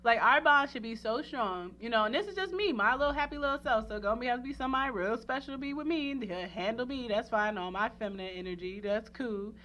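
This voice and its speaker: A woman in casual radio chat, talking in a giggly, animated and freely swearing way. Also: fairly high pitch, fast, few pauses.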